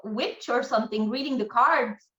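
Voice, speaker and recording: A woman's voice: a little high, normal speed, a bit bright. A young woman born in Romania and raised in Germany and Spain, a podcast guest talking bluntly in casual chat, with the odd swear. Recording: roomy, slightly dull sound.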